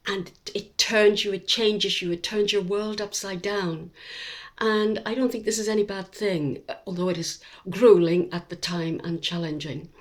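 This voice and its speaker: A middle-aged woman, speaking in a reflective personal vlog about her illness, earnest and encouraging, pausing often with ums. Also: lowish voice. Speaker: vlogger sharing her cancer journey. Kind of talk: reflective personal monologue to camera.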